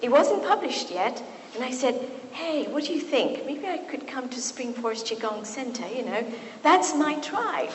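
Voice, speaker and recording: A middle-aged woman from Kenya, a physician giving a lecture to an audience in a university hall, telling stories with lively asides. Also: high-pitched, normal speed. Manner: warm and storytelling, with lively asides. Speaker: physician and speaker. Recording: echoey hall, faint noise.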